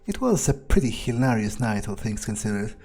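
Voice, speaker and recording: A man's voice: fairly low pitch, big pitch swings, fairly quick, darkish tone. A man voicing a cynical, arrogant, foul-mouthed vampire in an in-character video game playthrough, musing and darkly amused.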